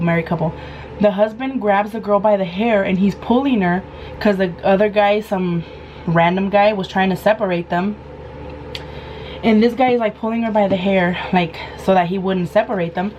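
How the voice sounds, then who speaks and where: Woman, hoarse, animated storytelling, mid pitch, faint background noise. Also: normal speed, dull audio. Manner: animated, acts out quoted lines. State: hoarse from a sore throat. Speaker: vlogger. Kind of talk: casual storytime.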